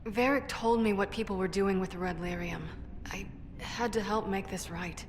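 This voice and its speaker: A woman's voice: mid-range voice, moderate pace, close to an everyday voice. A woman playing a brash, defensive female dwarf inventor in a video game, blunt and wry. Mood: regretful, defiant. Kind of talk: video game cutscene acting. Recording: echoey cavern reverb, background noise.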